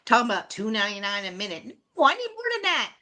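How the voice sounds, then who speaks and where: A woman's voice: medium pitch, very animated, bright, forceful tone. A woman co-hosting a live sale, joking playfully and laughing easily. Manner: playful, jokey, quick to laugh. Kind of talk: casual joking chat.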